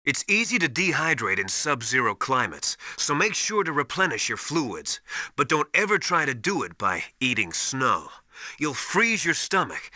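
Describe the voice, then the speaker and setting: An actor playing a stern veteran military survival instructor in a video game, briskly lecturing with emphatic, commanding authority. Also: male voice, medium pitch, sharp tone, no echo, studio quality. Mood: stern, instructive. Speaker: mission survival adviser. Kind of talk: video game voice acting, instructional radio briefings.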